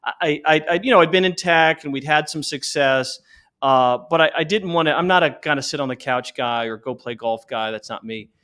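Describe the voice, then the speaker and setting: A man in his forties with a slightly high voice, talking as a guest in an interview. He explains things earnestly and conversationally, often doubling back to restart a sentence. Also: fairly quick, a bit bright. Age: 40s. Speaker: interview guest, company founder.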